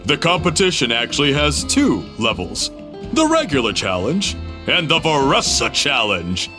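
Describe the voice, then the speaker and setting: An actor playing a friendly uncle innkeeper who hosts an eating contest, chatty and chuckling as he explains. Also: medium pitch. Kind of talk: game cutscene voice acting.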